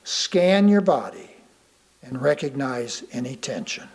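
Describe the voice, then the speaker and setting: A man reading a sermon aloud from a script, deliberately and with emphatic pauses. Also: mid-range voice, big pitch swings, darkish tone. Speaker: lay reader of a sermon.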